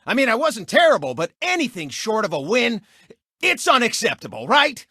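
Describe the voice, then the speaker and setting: A man's voice: very high voice, wildly swooping pitch, normal speed, bright, forceful tone, slightly rough, no echo, clean studio sound, heavily acted. A man voicing a cocky, egotistical race car who hosts a TV racing show, with boastful, mocking showboat delivery in a video game. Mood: showy announcing. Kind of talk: animated video game sportscast patter.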